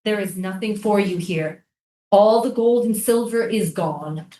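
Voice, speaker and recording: A woman's voice: medium pitch, unhurried, warm tone, lightly acted. Echoey, defiant native islander, acted by a woman. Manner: incantatory, repetitive pleading. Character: defiant, mournful native islander pleading for stolen land. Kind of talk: theatrical staged reading. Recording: echoey room.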